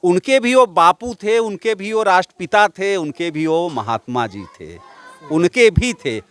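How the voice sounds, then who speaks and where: A man's voice: high voice, expressive, unhurried, a bit bright. A male teacher, giving an earnest, exhorting talk outdoors at a village school. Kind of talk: speech and leading children's slogans. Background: children in a crowd.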